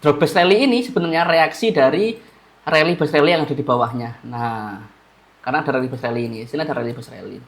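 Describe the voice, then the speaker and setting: A man's voice: fairly high pitch, fast talker. A man with a stuffy, blocked nose, feeling unwell, walking viewers through a chart analysis in a casual way and often repeating key phrases. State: stuffy-nosed, under the weather. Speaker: trading analyst.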